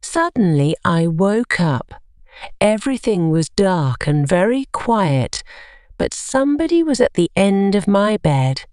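A woman reading a scripted story for English learners, carefully and with clear enunciation. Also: mid-range voice, sing-song, measured pace, darkish tone, no echo, studio quality. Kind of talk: scripted audiobook narration.

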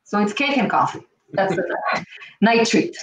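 A woman's voice: medium pitch, animated, measured pace, a crowd in the background. A middle-aged woman, from Israel, hosting a casual cooking chat on a video call from her home kitchen. She is emphatic and repeats her key points. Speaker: cooking show host. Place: home kitchen, indoors, standing back from the camera. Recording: echoey kitchen, distant mic, faint hiss.

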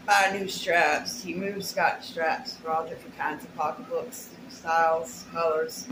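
A woman's voice: low-pitched, steady tone, a little slow. A middle-aged woman chats casually through an unboxing at home, rambling in a folksy way with muttered asides. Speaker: haul vlogger. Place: small bare room at home. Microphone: camera or phone a short distance away. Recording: roomy echo, clear background noise.

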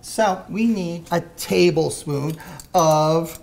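A man hosting a kids' cooking show in a home kitchen, talking in a halting way with many "uh" fillers. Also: fairly high pitch, creaky, darkish tone. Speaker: host.

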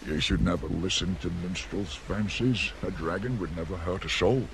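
Draco, a gravelly old dragon. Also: male voice, deep voice, moderate pace, slight background noise. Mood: gentle. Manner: gravelly, chuckling, mildly teasing. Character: wise gentle male dragon, old and warm-hearted. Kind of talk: film acting.

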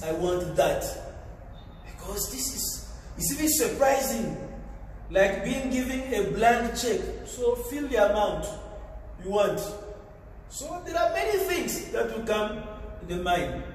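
Man, priest, homily, high voice, unhurried, echoing hall, distant mic. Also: expressive, slight background noise. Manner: slow, deliberate preaching with frequent pauses and fillers. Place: large tiled chapel, indoors. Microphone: far camera. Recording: echoey chapel from far off.

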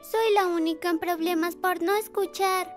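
A woman's voice: very high voice, steady tone, moderate pace, soft background music. A woman voicing a sweet, earnest little preschool girl in a children's cartoon dub. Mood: hurt, sulky. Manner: cute, earnest, pleading. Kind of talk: animated children's series dub.

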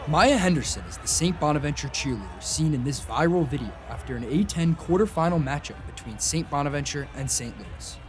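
A man's voice: on the high side, lively intonation, brisk, few pauses, faint music behind. A young adult man with an American accent reading scripted narration as a student TV news reporter.

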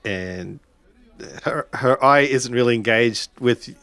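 A man playing a tabletop roleplaying game, narrating his character's actions haltingly as he searches for words. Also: mid-range voice, a bit bright, no echo, a faint crowd. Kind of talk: improvised third-person roleplay narration.

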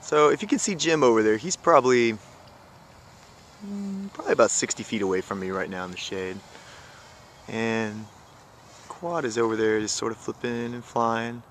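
Animated man chatting over outdoor noise. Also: medium pitch, normal speed, long pauses. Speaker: camera operator narrating a drone test flight. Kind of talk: casual off-the-cuff commentary. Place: outdoors in an open grassy field. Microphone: handheld camera mic. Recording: noisy outdoor camera audio.

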